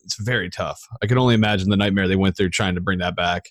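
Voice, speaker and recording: A man's voice: low voice, steady tone, quick pace, no room sound, studio quality. An American man in casual conversation, slangy and effusive, swearing freely. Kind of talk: casual chat.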